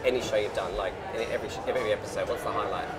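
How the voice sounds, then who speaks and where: A man's voice: on the low side, fairly even intonation, racing, very noisy. A man interviewing two guests in a chatty, playful way. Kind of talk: casual interview. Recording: loud crowd behind.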